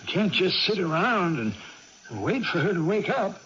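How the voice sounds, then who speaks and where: A middle-aged farm father in an old radio play, firm yet gentle. His voice is high for a man and very animated. The recording is dull and vintage. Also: moderate pace. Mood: alarmed, puzzled. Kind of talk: period radio drama acting.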